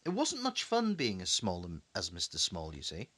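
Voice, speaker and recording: A man tells a children's story as a dad would at bedtime. His voice moves in very lively swoops. He repeats phrases for drama and adds conversational little asides to the listener. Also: sits low, normal speed. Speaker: father reading a bedtime story.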